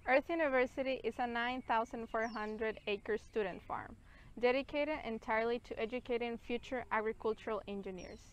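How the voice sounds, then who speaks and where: A young woman reading a scripted video narration in a clear, measured way. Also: a little high, low-quality recording. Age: young adult. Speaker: student presenter.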